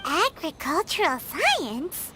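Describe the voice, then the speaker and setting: A very high, squeaky little fairy girl, chirps with bouncy, animated pitch. She is a cheeky, loyal game sidekick, with music playing behind her. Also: slow, exaggerated voice. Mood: urging, surprised. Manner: squeaky, speaks of herself in third person. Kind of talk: video game voice acting.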